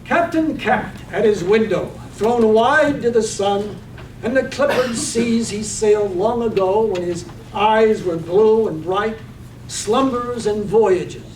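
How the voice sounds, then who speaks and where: Male narrator for poetic drama reading, slow and deliberate, miked from afar with faint noise. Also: high-pitched. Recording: roomy, distant mic, faint ambient noise.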